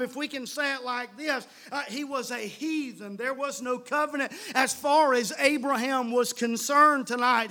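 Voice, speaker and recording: A man preaching a fervent evangelical sermon, emphatic and repetitive, punctuating his points with amens and building toward shouted peaks. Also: very high-pitched.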